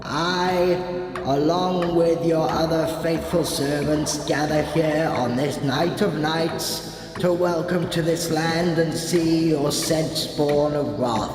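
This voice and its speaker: An actor playing a pompous, blustering British lord in an old-style radio play. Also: male voice, a little high, fairly even intonation, slow pace, music in the background. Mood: solemn, chanting. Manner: pompous, old-fashioned upper-class phrasing. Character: pompous, blustering English lord, secret cult leader. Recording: echoey hall with faint noise.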